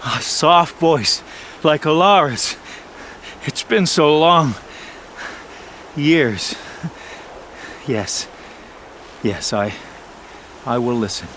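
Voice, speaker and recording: An actor playing a tormented, fragile outcast in a video game, haunted by spirit voices and speaking in halting, repeated bursts. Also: male voice, fairly high pitch, expressive, a bit bright, a little hiss or hum, heavily acted. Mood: wistful, hesitant. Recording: music under the voice.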